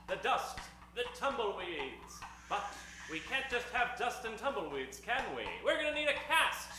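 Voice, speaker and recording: Man, high-pitched, grand radio host delivery, very echoey hall, music behind. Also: bright, forceful tone. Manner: grandly theatrical, deliberate. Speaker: host of a live radio comedy show. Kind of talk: dramatic old-time radio hosting. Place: stage in front of a live audience.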